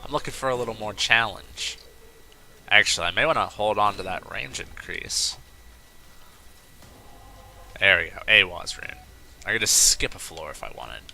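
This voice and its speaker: A man's voice: on the low side, expressive, moderate pace, gravelly, pauses often, sharp tone, slight background noise. A man hosting a let's play, chatting casually and rambling into off-topic asides while he plays. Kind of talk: casual gameplay commentary.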